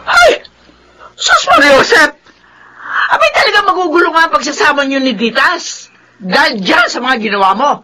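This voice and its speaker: A shrill scolding mother. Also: big pitch swings, moderate pace, pauses often, sharp tone, a little hiss or hum, exaggerated voice, rough recording. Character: fussy older woman, mother-in-law. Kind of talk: radio drama acting.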